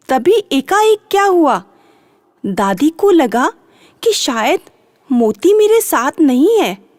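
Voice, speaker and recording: A woman's voice: high voice, darkish tone, no echo, studio quality. A woman narrating a children's bedtime story, repeating and drawing out phrases for drama.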